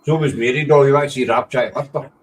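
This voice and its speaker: A man's voice: mid-range voice, quick pace, slight background noise. A Scottish man with a Glaswegian accent hosting a podcast, joking and rambling in casual banter on a video call. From Scotland. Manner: jokey, self-mocking, rambling. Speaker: podcast host.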